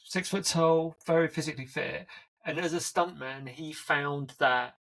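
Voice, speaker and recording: A man's voice: on the high side, steady tone, unhurried, a bit bright, low-quality recording. A man hosting a podcast, asking long, winding interview questions in a friendly, conversational way. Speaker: podcast host.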